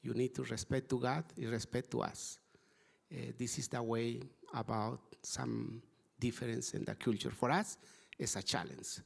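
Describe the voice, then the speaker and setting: Man, English, gravelly voice, middle pitch, halting earnest panel talk. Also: steady tone. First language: Spanish. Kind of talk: panel discussion. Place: conference hall.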